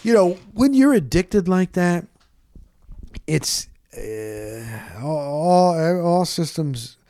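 A man hosting a sports talk show, telling stories in a casual, rambling, conversational way with an animated, exclamatory manner. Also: fairly high pitch, very slow, no room sound, clean studio sound.

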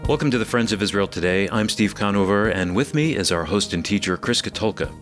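A man co-hosting a religious radio program, reading scripted announcements and news in a measured, formal way. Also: lowish voice, music in the background.

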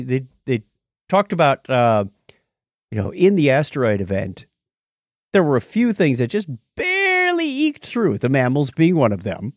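Animated man in casual podcast chat. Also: a little high, dry recording, clean studio sound. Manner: animated, rambling storyteller. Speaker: podcast co-host. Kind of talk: casual podcast chat, recounting a nature documentary.

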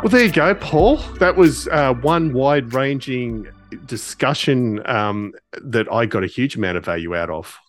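A man's voice: mid-range voice, lively intonation, measured pace, faint music behind. A man hosting a podcast in a casual, appreciative, conversational way. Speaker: podcast host.

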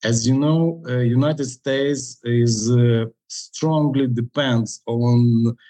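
Slow, halting Ukrainian-accented man. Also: mid-range voice, fairly even intonation, mellow. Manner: halting, many pauses and fillers. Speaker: company founder, guest. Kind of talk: interview answers.

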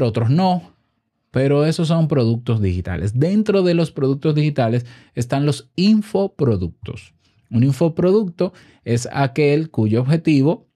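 A man's voice: medium pitch, warm tone. A man with a Dominican accent giving an informal explainer as an online host, teaching by asking questions and answering them himself. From Dominican Republic. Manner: didactic, asks and answers own questions. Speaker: online business content creator and host.

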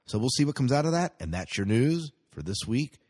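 A man's voice: mid-range voice, big pitch swings, a little fast, darkish tone, husky. An American man hosting a podcast in a casual, informal way, often hesitating and correcting himself. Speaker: podcast host. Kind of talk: casual sci-fi news and movie segment talk.

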